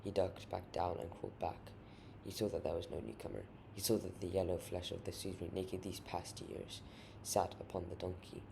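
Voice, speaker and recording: A man narrates a short story in a grave, literary way. His voice is deep and heavily creaky, full of gravel. The amateur recording has clearly audible noise behind him. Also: little pitch movement, normal speed, few pauses. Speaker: author reading own short story. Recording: noisy amateur home recording.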